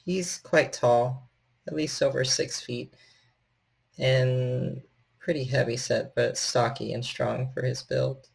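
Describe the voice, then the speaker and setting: A man narrating a tabletop horror game as storyteller, in a measured, descriptive manner. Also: medium pitch, fairly even intonation, creaky, husky.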